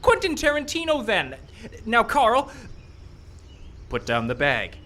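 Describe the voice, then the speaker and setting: A man's voice: very high voice, wildly swooping pitch, slow, pushed and bright, exaggerated voice. A man playing a brash, greedy movie producer in a live radio play, glib and stammering when flustered. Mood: agitated, defensive. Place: live radio show in a park. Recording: live stage recording with background noise.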